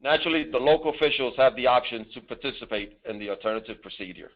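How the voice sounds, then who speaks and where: Male government presenter, formal and deliberate, halting phrasing, narrow phone-line sound. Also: medium pitch. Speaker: government disaster assistance official presenting. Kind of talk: formal scripted presentation with some improvised asides. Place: office, on a conference call. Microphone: telephone.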